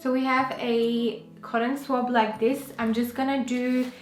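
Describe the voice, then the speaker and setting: A woman's voice: a little high, little pitch movement, measured pace. Chatty young woman vlogging in an echoey room. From Pakistan. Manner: chatty, with many fillers like "um" and "you know". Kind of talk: casual vlog to camera. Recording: echoey room with faint music.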